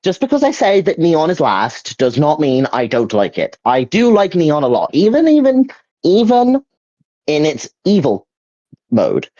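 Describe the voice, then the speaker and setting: A dull, dry lo-fi recording of a man chatting casually as a gaming co-host. His pitch is mid-range but swings in a lively way, and he often gropes for the next word. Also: measured pace, dry recording. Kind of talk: casual chat, giving opinions.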